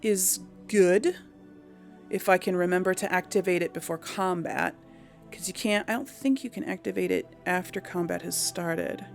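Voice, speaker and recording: A woman's voice: fairly low pitch, moderate pace, vocal fry, soft background music. A woman giving casual video game commentary, thinking aloud in a hesitant, musing way. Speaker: video game let's player.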